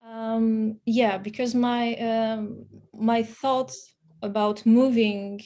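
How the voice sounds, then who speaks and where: A woman's voice: a little high, level tone, very slow, warm tone. A woman from Poland, a native Polish speaker with a Polish accent, telling her story casually as an interview guest. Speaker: podcast guest, startup investor.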